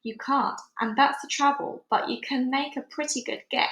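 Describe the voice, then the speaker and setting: A woman's voice: high-pitched, steady tone, moderate pace, fairly bright tone, pitched above the performer's own voice. A woman voicing a stern, knowing old grandmother in a storybook, brusque and instructive. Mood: knowing, emphatic. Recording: roomy, echoey home recording.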